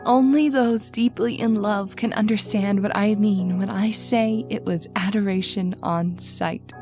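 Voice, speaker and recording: A woman's voice: mid-range voice, fairly even intonation, a little slow, over music, lightly acted. A woman playing a lonely, romantic twenty-one-year-old daughter in an old-time radio drama, with a wistful, formal manner. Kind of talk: old-time radio horror drama acting, first-person narration.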